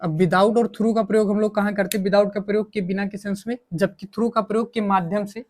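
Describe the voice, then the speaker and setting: A man's voice: high voice, steady tone, fairly quick, a little mellow. A man teaching English grammar in a coaching lesson, repeating himself and asking questions that he answers himself. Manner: repetitive, asks and answers own questions. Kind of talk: coaching lesson, explaining in Hindi with English examples.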